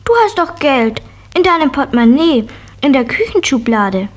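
A small preschool girl with a high, childlike voice. She is curious and headstrong, insisting on her point in the manner of a children's audio drama. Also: lively intonation, normal speed. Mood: insistent. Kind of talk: children's radio play acting.

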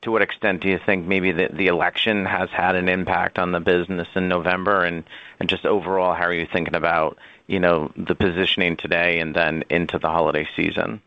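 A low-pitched man poses questions as an analyst on a conference call. He hedges and feels his way through each question. The audio is slightly dull and very dry. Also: little pitch movement, brisk, dry recording. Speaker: financial analyst asking questions.

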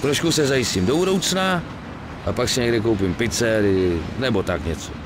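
A man's voice: mid-range voice, animated, a little hiss or hum, close to an everyday voice. A man voicing a weary, streetwise middle-aged gangster in a Czech video game dub. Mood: weary, grumbling. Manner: colloquial, grumbling, swearing.

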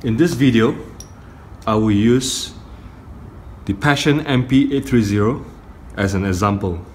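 A middle-aged man giving a step-by-step tutorial on audio gear, speaking deliberately in short phrases with pauses. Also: mid-range voice. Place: indoor carpeted room. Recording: faint room noise, phone camera audio.

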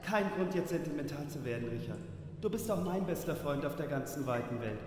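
Male actor as pompous nice superhero, comic radio play, heroic declaiming, lively swoops, long hall reverb. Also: a little high, a little fast, lightly acted. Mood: determined. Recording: very echoey hall, faint noise.

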